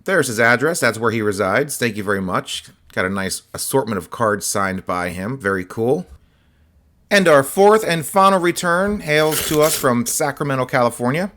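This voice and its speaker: A man with a slightly hoarse, scratchy voice, chatting casually as host while showing autographed sports cards. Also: mid-range voice, moderate pace. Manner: relaxed, chatty, many fillers and asides. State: slightly hoarse, voice partly lost. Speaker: host of a card-collecting channel.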